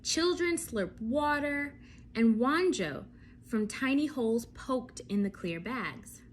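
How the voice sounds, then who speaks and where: A woman with a fairly high voice reads a story for children. Her delivery is slow and very animated, and she speaks straight to her young listeners with little questions. A light background noise sits behind her. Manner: expressive, addresses young listeners directly with questions. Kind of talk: read-aloud bedtime story for children, with asides to listeners.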